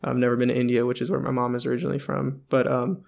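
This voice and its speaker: A man interviewing a guest in a relaxed, casual way, often pausing with ums. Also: medium pitch, creaky.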